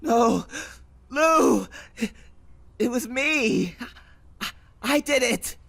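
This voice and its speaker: A man's voice: extremely high pitch, lively intonation, very slow, gravelly, fairly bright tone, exaggerated voice. An actor playing a guilt-ridden, troubled teenage boy who pleads and stammers in a horror audio drama. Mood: desperate, tearful.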